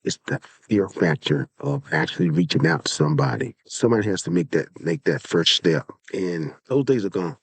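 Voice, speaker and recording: A man in his sixties speaks in a low, deep voice affected by a head cold. He talks casually and earnestly, like a podcast host urging listeners on and repeating his points. The sound is close and dry, with very little room around it. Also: very animated, moderate pace, vocal fry, few pauses, slightly rough, no echo. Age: 60s. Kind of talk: casual solo podcast monologue.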